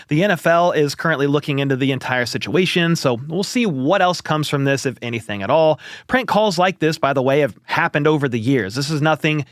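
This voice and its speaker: A man's voice: medium pitch, no room sound, studio quality. A man hosting a football news show, giving conversational, opinionated commentary in a casual style.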